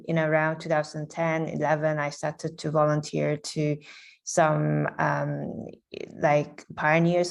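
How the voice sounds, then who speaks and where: Low, gravelly-voiced woman from Hong Kong. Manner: hesitant, many ums, laughs lightly. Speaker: guest speaker. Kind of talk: casual interview answers.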